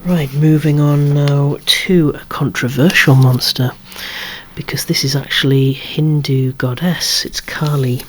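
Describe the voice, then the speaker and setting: A softly whispering collector presenting a toy collection ASMR-style, in a rambling, chatty way with lightly wry asides. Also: medium pitch, slight background noise. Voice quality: breathy, hushed whisper.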